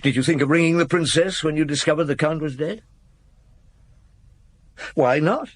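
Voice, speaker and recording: An actor playing a shrewd, unhurried French chief inspector in a radio drama, musing in hums and asking blunt, probing questions. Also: male voice, fairly high pitch, dry recording, close to an everyday voice. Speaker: actor in the lead role of a radio detective drama. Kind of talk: British radio drama acting with first-person narration.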